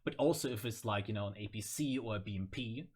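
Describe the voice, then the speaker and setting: A man's voice: on the low side, fast talker. A man hosting a gaming video, explaining things in casual conversation. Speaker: host of gaming video series.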